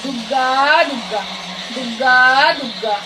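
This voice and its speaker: A woman's voice: a little high, fairly even intonation, a little slow, close to an everyday voice. A woman playing an affectionate, teasing older mother in a radio play, scolding and coaxing in short colloquial bursts. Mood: mock scolding. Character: affectionate, teasing, plain-spoken older mother of a grown son. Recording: distant mic, very noisy audio.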